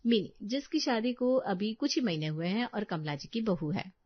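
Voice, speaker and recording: A woman's voice: medium pitch, expressive, moderate pace, no echo, muffled recording. A woman narrating a scripted family story expressively, addressing her listeners directly.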